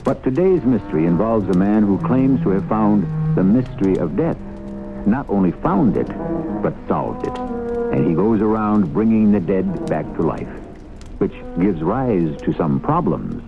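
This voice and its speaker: A man hosting a radio mystery with theatrical, deliberate scripted suspense narration. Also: on the low side, sing-song. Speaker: radio drama host. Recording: tinny old radio broadcast over music.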